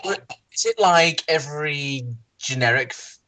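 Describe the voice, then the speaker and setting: Medium pitch, casual commentary, halting delivery, repeated phrases. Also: pushed and bright, low-quality recording. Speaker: commentator in a group reading. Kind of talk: casual commentary among friends.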